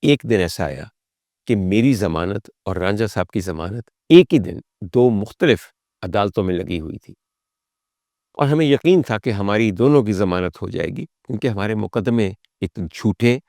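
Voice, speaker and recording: Gravelly Pakistani man telling personal stories. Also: lowish voice, animated, measured pace, a little mellow, no room sound, clean studio sound. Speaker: talk show guest and storyteller. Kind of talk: personal storytelling monologue to an audience.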